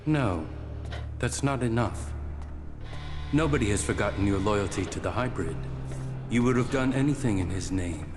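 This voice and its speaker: A proud, distrustful rebel soldier played by a man in a video game cutscene. The voice sits fairly low and moves in measured, formal phrases. Music plays clearly behind it. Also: animated, lightly acted. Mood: cold, distrustful. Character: wary rebel soldiers of a cold, proud army.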